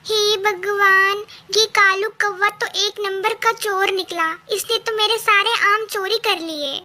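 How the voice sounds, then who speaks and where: A woman plays a little female cartoon bird with an extremely high, bright voice. She is earnest and plaintive, and speaks slowly. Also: fairly even intonation, heavily acted. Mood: shocked. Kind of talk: children's cartoon dialogue.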